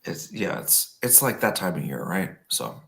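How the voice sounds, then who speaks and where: Hoarse, low-voiced male podcast host, relaxed and chatty. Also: normal speed. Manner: relaxed, chatty, with frequent fillers. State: hoarse, recovering from illness. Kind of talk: casual chat, reading notes aloud.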